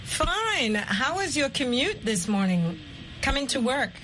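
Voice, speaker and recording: A woman hosting an English lesson show, explaining simple words deliberately and chatting with guests. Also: sits high, sing-song, fairly bright tone, a little hiss or hum, poor audio. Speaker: host and English teacher. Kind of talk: slow, clearly explained language lesson chat.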